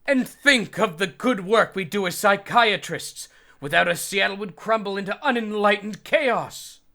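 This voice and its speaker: Pompous male psychiatrist, played by a man, middle-aged, forceful and gravelly, grand diction, comedy fandub with music behind. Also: sits high, unhurried, heavily acted. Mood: urgent, grandiose. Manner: haughty, theatrical, ornate diction. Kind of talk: comic fandub acting.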